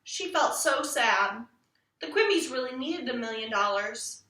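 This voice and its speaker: A woman's voice: fairly high pitch, lively intonation, measured pace, sharp tone. A woman narrating a children's story expressively, as a storybook reader. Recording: echoey room, distant microphone.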